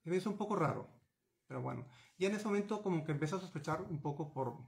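Hombre que narra agitado por videollamada. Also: male voice, a little high, fast talker, creaky. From Mexico. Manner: animated, gesturing, many restarts and fillers. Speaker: interviewee. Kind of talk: recounting a personal story. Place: small home office with bookshelves. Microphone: video call webcam.

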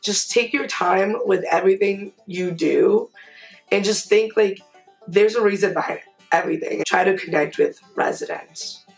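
A woman's voice: mid-range voice, normal speed, faint music behind, low-quality recording. A young woman from Queens, a college resident assistant, giving candid interview answers with casual fillers. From Queens, New York. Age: young adult. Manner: candid, talkative, with fillers like "honestly". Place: indoors before a plain grey backdrop.

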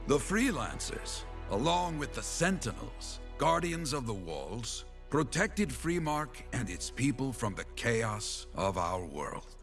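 An actor playing a grave, middle-aged veteran mercenary in a video game, narrating as a solemn, dramatic storyteller. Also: male voice, mid-range voice, very animated, slow pace, creaky, hardly any pauses, a bit bright, faint music behind, heavily acted. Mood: solemn reverence. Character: grave veteran male mercenary recounting a fallen city.

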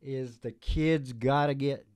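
A man's voice: mid-range voice, fairly even intonation, takes it slowly, a little mellow, clean tone. An older man chatting casually on a small stage, joking in a loose, meandering way. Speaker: show host and musician. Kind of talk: rambling casual stage chat. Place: small dim curtained stage. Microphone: stage microphone up close.

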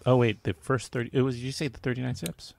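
Gravelly male podcast host, chatting. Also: mid-range voice, steady tone, quick pace, a little mellow. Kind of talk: casual chat about old films.

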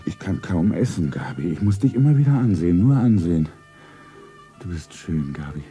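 Ein Schauspieler spielt in einem Hörspiel einen reichen, lebensmüden Geschäftsmann als zärtlichen, feierlich sentimentalen Liebhaber. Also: male voice, deep voice, sing-song, normal speed, dark tone, faint music behind. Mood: enraptured. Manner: sentimental, solemn, self-narrating. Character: rich, world-weary businessman, solemnly tender lover. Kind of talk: German radio play acting.

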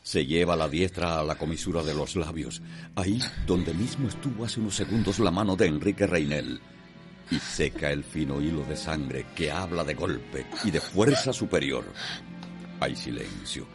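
A man's voice: very deep voice, expressive, normal speed, over music. A man delivering solemn, poetic scripted narration for a radio soap opera.

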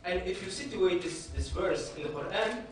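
A man in his thirties, raised in Belgium with Dutch as his first language, giving an earnest, measured lecture from a panel table in a hall, often restarting his phrases. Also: sits high, expressive, slight background noise. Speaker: panelist speaker. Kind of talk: public lecture. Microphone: table microphone.

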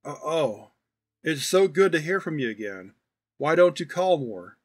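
A man's voice: on the high side, sing-song, a little slow, husky, exaggerated voice. A man voicing an affectionate, proud, teasing old grandfather in a fond, folksy, chatty manner for a story reading.

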